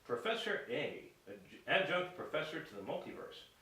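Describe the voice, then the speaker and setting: Roomy, hissy off-mic radio play: helpful train conductor, theatrical, animated pitch. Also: male voice, moderate pace. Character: courteous male train conductor, matter-of-fact and helpful. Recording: roomy, distant mic, hiss.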